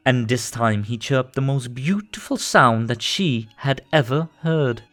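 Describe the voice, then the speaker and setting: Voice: medium pitch, unhurried, a bit bright. A narrator reading a children's story aloud from a script, in a storybook style. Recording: music bed under the voice.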